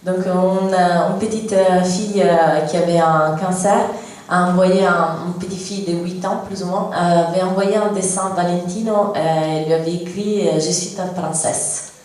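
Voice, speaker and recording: Live interpreter, woman, halting, slightly low voice, distant in a reverberant hall. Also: steady tone, a little mellow. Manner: searching for words, hesitant. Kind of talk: live translation. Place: cinema hall. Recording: echoey hall, distant mic.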